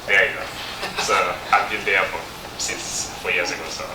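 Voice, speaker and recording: A man from Eastern Congo speaking as an audience member, putting questions to a panel with halting phrasing. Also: low-pitched, level tone. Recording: echoey, distant mic, noisy room.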